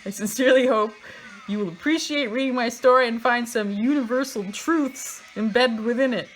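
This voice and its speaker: A woman's voice: on the high side, moderate pace, faint music behind, low-quality recording. A woman reading a book aloud in a theatrical, mocking way, breaking into casual, sardonic asides of her own.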